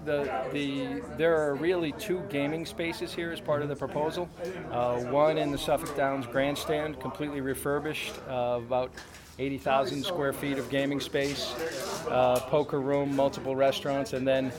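A man speaks at a press conference, hedging with frequent uhs as he answers questions. His voice is mid-pitched. A crowd murmurs clearly in the room around him. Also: little pitch movement, normal speed. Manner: hesitant, many filler words. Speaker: casino proposal executive answering press.

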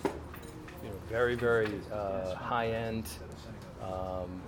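A man answering reporters at a press event, halting with many fillers and restarts. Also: on the low side, fairly even intonation, crowd noise. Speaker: development spokesman.

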